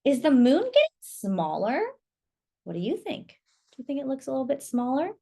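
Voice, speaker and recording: A woman storyteller reading a picture book aloud to children, pausing to ask listeners questions and comment on the pictures. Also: high-pitched, very animated, vocal fry, mellow.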